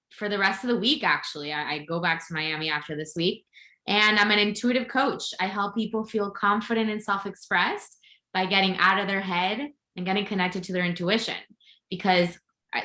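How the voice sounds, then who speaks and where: A woman's voice: mid-range voice, normal speed, sharp tone, echoey room. A woman from Venezuela, a podcast guest and intuitive coach, telling her story in an open, upbeat way. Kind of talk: casual interview chat.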